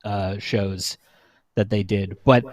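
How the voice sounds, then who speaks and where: A man in his thirties speaking as a panelist in a casual chat, recounting things in an informal, halting, conversational way. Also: lowish voice, fairly even intonation, darkish tone, dry recording, studio quality.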